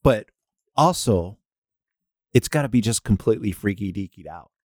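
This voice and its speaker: A man's voice: fairly low pitch, animated, normal speed, darkish tone, no room sound, clean studio sound. A man co-hosting a podcast in casual, slangy banter. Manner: informal, slangy. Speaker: podcast co-host.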